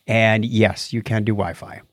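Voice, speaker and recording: A man's voice: lowish voice, little pitch movement, a little slow, fairly bright tone, dry recording, studio quality. A man hosting a podcast in casual chat, with a techy, wry and jokey way of talking. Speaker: podcast host.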